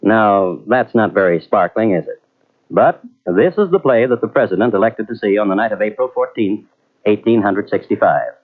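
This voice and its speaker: A man narrating an old radio mystery in a measured, wry storytelling manner. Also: fairly low pitch, expressive. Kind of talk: scripted narration. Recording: tinny old radio transfer from cassette.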